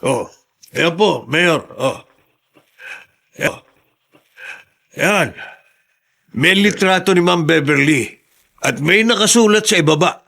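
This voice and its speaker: Agitated, creaky-voiced mayor's aide. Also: male voice, on the high side, slow pace, long pauses, heavily acted. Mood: agitated, indignant. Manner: respectful, urgent, excitable. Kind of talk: Tagalog radio drama acting.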